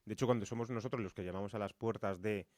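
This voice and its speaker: Male co-host, lowish voice, Spain Spanish, casual halting storytelling, dry studio-like sound. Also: steady tone, a little mellow, dry recording. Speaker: podcast co-host, fiction podcast creator. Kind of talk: casual conversation, telling anecdotes.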